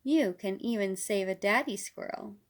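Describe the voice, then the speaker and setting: A woman voicing a playful, encouraging father squirrel in a gentle storybook manner. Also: medium pitch, moderate pace, lightly acted. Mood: proud. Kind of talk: children's storybook character voice.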